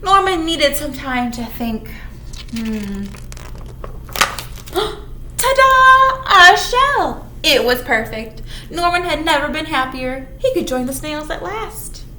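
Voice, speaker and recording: A high-voiced woman reads to small children at an unhurried pace. She is playful and exaggerated, chatting to her young audience between lines of the story. The recording is roomy and echoey, with some background noise. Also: big pitch swings, sharp tone. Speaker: children's librarian leading storytime. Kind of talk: animated storytime read-aloud with asides to young children.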